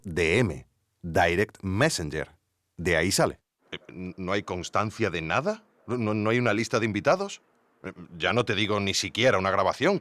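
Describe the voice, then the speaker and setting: A man's voice: sits low, fairly bright tone, dry recording. An actor playing an earnest male podcast investigator, narrating a scripted investigation and asking questions. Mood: hesitant. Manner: hesitant when questioning, measured when narrating.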